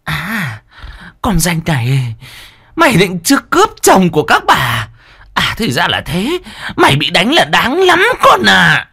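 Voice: mid-range voice, huge pitch swings, measured pace, creaky, bright, forceful tone, husky, pitched above the performer's own voice, full character voice. A narrator with a Northern Vietnamese accent voicing a hot-tempered second wife who snaps accusations. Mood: accusing.

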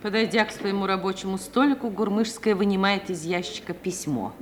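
A woman's voice: mid-range voice, brisk. A woman narrating stage directions in the scripted manner of 1950s Russian radio theatre. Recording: old radio recording, faint hiss.

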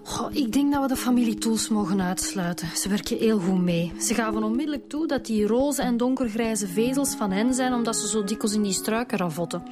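An actress playing a brisk police detective with a Flemish accent in a radio drama, matter-of-fact in manner. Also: female voice, fairly high pitch, music in the background, close to an everyday voice. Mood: reporting.

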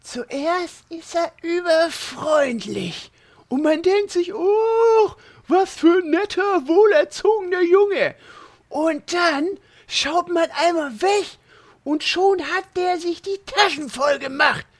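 A man's voice: extremely high pitch, slow, full character voice. A man playing a cranky, suspicious old warrior uncle in a parody audio drama, with a strained, grumbling, complaining voice. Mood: grumbling, nostalgic. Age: older.

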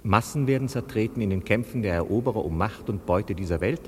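Reverberant, low-voiced man declaiming. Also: lively intonation, fast talker, a little mellow. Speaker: reader of a quotation. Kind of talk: grave declaimed reading of philosophical prose. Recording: long hall reverb, clear background noise.

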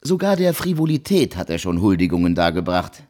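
An actor playing an ironic, eloquent intellectual man who argues in a deliberate, rhetorical way in a radio play. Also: sits low, big pitch swings, darkish tone. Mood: argumentative. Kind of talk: German radio play dialogue.

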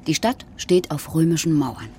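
A woman's voice: sits low, expressive, normal speed, mellow, a little hiss or hum. An actress playing a cool, probing female acquaintance with a dry, understated manner in a radio crime drama. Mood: guarded calm. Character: cool, inquisitive female train acquaintance, teasing and guarded.

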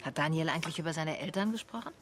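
Low-voiced, observant female detective. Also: animated, very fast, a little hiss or hum. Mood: probing. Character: observant, probing female private detective, reflective and matter-of-fact. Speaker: actor and narrator in a radio play. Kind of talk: first-person radio drama narration and dialogue.

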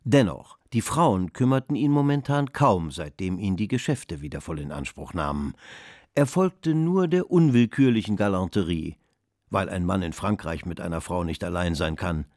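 A man's voice: sits low, expressive, moderate pace, a little mellow, dry recording, clean studio sound. A man giving literary scripted narration in a German radio drama. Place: dry studio.

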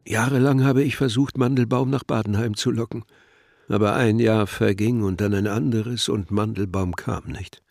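A man acting an earnest, devoted festival impresario in a radio play, husky and gravelly, reassuring and ceremonious. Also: on the low side, steady tone, moderate pace, a little mellow, dry recording, clean studio sound. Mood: wistful, earnest.

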